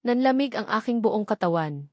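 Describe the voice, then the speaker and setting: A woman narrates a scripted first-person love story in an emotive, melodramatic way with deliberate phrasing. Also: fairly high pitch, lively intonation, no echo, clean studio sound. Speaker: audio drama narrator.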